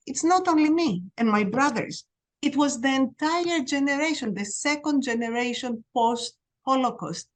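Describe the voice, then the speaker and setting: A woman, a podcast guest telling her life story in a reflective way, with pauses and restarts. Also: on the high side. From Greece. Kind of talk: personal storytelling.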